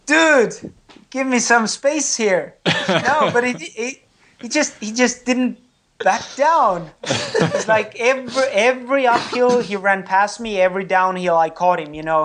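A man's voice: high-pitched, fairly bright tone. Estonian-accented man, candid and good-humoured. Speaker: triathlete guest. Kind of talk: casual interview answers.

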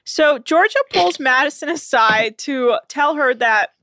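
Casting: woman, higher voice, bright and forceful, sarcastic casual podcast recap, dry close sound. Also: animated, unhurried, no echo. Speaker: podcast co-host recapping a reality show. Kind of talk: casual chat.

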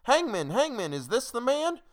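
A man's voice: very high-pitched, sing-song, normal speed, pitched above the performer's own voice. A man voicing a frightened, indignant townsman in a dramatic poem, crying out in pleading accusation. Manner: raised, pleading, accusing cries. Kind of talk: dramatic poetry recitation.

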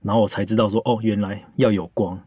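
A man's voice: fairly low pitch, fairly even intonation, fast, dark tone, slightly rough, dry recording. A man in his thirties with a Taiwanese Mandarin accent, chatting casually as a podcast host. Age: 30s. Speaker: podcast host and script editor.

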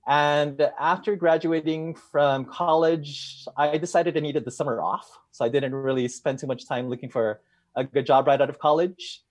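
A man who grew up in Hawaii, a teacher and guest, answering interview questions in a measured, friendly way. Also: mid-range voice.

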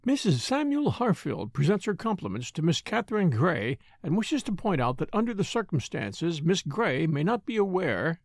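A man's voice: high-pitched, sing-song, moderate pace, few pauses, dry recording, clean studio sound, full character voice. Man reading as a pompous, stuffy married lady. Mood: stiff. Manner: formal, pompous. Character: stuffy, self-important married lady composing formal letters.

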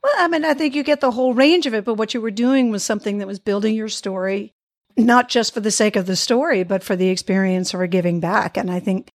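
A woman's voice: mid-range voice, brisk, no room sound, clean studio sound. Enthusiastic American-born woman hosting an interview. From United States. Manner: enthusiastic, affirming, long rambling questions. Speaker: interview host. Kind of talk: casual interview conversation.

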